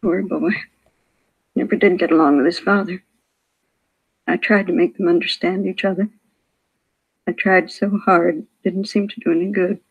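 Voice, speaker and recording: An actress playing a frail, shaken older widow, hesitant, plaintive and apologetic, in a 1950s radio police drama. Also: female voice, lowish voice, steady tone, moderate pace, long pauses, breathy edge, rough recording. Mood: sorrowful. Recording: vintage 1950s radio broadcast.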